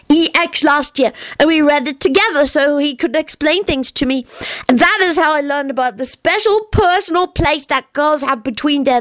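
A woman's voice: high-pitched, fairly bright tone, no room sound, voice pushed up. A woman doing a chirpy, precocious wizard boy. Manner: earnest, matter-of-fact, chirpy. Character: precocious blunt young wizard boy, cheeky.

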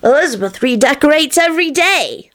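Storybook little boy woman performing: very high, lively swooping pitch, cheerful, bold, knowing and earnest. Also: a little fast. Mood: indignant. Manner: knowing, pious, childish grammar, earnest.

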